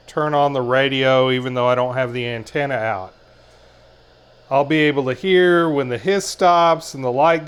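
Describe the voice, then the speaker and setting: A man's voice: medium pitch, smooth. A man giving a casual, methodical hands-on product review, explaining each step as he goes. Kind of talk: casual hands-on gadget demonstration.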